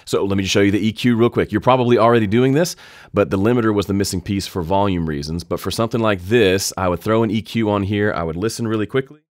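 A man in his thirties with a slightly nasal voice, explaining podcast audio steps in a friendly, even tone, recorded close on a studio mic in his home studio. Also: on the low side, quick pace, dry recording. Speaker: audio tutorial host. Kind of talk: screen-recorded software tutorial. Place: home studio with keyboards and guitars. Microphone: studio condenser mic close up.

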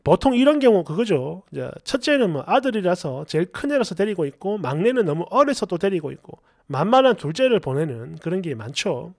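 Middle-aged male story reader, high voice with big swings, exasperated colloquial delivery, close and dry sound. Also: sing-song, fairly quick, creaky, hardly any pauses, no room sound. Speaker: story channel host. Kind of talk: animated reading of a first-person online story with asides.

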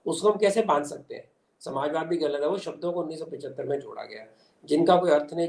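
An older man speaks at a slightly raised pitch. He explains his political views emphatically and drops in English terms. The sound is an echoey room over a video call. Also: darkish tone. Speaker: interview guest, commentator. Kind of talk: explanatory political interview answer. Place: small indoor room at home. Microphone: video call device.

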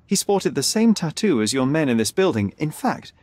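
Confident young detective, lively voice. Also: male voice, fairly high pitch, normal speed, no room sound. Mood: measured, probing. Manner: articulate, formal, pointed. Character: confident, sharp young male detective, needling and unflappable. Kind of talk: dramatic video game acting.

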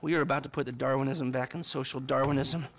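A man's voice: medium pitch, steady tone, quick pace, close to an everyday voice. An American actor in a video game playing a sardonic, wordy, foul-mouthed hacker in his forties. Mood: gleeful scheming. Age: 40s. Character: bitter, foul-mouthed, nerdy middle-aged male hacker. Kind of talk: video game cutscene acting.

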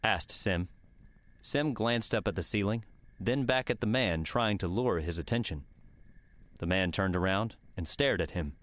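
A man's voice: deep voice, unhurried, a bit bright, dry recording. A man reading scripted audiobook narration of a story.

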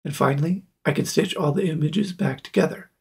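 Male tutorial presenter, forties, very husky and breathy with some creak, plain explanatory delivery. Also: a little high, brisk. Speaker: video maker presenting a tutorial.

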